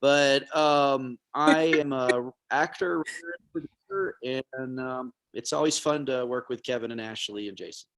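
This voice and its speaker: A man's voice: mid-range voice, moderate pace, creaky, a bit bright, poor audio. A man casually introducing himself on a video call in a joking, self-deprecating way. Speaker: actor, writer and producer.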